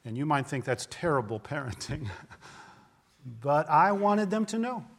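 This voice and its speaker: A man's voice: fairly high pitch, big pitch swings, a little slow, husky, in a large hall. A man in his fifties preaching a sermon in an earnest, conversational way, often asking his listeners rhetorical questions. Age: 50s. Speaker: pastor.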